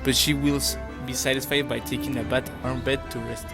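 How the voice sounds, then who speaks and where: A man's voice: mid-range voice, little pitch movement, brisk, gravelly, over music. Young man narrating a fairytale.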